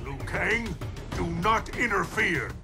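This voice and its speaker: Commanding game deity: raised pitch, slow grand delivery, sweeping intonation, loud score underneath. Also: male voice, animated, full character voice. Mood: angry, commanding. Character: stern, imperious thunder god losing his temper. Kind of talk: dramatic video game cutscene acting. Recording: loud music over the voice. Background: loud cinematic game music.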